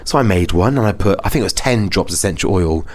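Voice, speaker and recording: A man's voice: low voice, expressive, quick pace. A British man hosting a podcast in casual conversation, telling anecdotes in a chatty, self-deprecating way. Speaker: podcast host. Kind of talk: casual chat.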